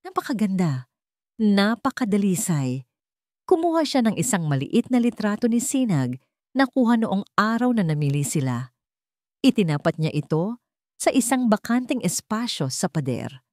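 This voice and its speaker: A Filipino woman narrates a dramatic audiobook in a low register. Her phrasing is measured and deliberate, and the recording is dry and close, with very little room sound. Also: warm tone, no echo. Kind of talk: scripted dramatic story narration.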